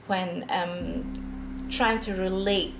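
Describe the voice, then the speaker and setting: Slow, gravelly Scottish woman in her sixties. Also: mid-range voice, steady tone, reverberant, background noise. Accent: Scottish, Edinburgh. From Scotland. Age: 60s. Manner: slow, reflective, with frequent restarts. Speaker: guest author. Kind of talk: podcast interview.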